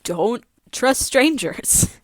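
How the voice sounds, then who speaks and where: A woman's voice: fairly high pitch, lively intonation, very slow, no echo. A woman co-hosting a casual discussion of a book, talking conversationally. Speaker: podcast co-host.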